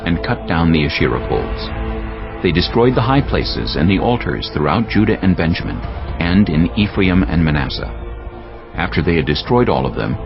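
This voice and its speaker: A man narrating a dramatized scripture audiobook in a measured, solemn storytelling manner. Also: low voice. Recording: dull sound with music behind. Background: prominent music.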